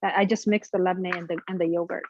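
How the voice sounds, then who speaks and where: A woman's voice: lowish voice, level tone, fast, lo-fi sound, noisy background. A young woman with an Afghan accent, chatting hesitantly and laughing as she walks through cooking a family dish. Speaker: guest cook. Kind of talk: casual cooking demonstration.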